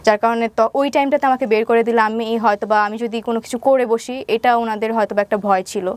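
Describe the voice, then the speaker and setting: A woman's voice: on the high side, steady tone, fast talker, no room sound. A young woman with a Bangladeshi accent, giving a personal account as an interview guest and mixing in English words. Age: young adult. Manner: mixes English words into her speech.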